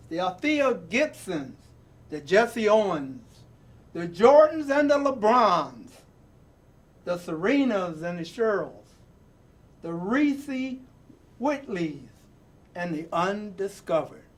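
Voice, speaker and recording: A man reading a picture book aloud in an emphatic, deliberate way, repeating phrases for weight and adding his own reflective asides. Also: high-pitched. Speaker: storybook reader and presenter.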